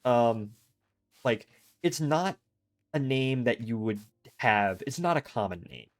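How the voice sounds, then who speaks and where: A man's voice: medium pitch, animated, slow pace. An American man streaming a game, chatting casually and thinking aloud in long digressions. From United States. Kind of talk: casual chat while gaming.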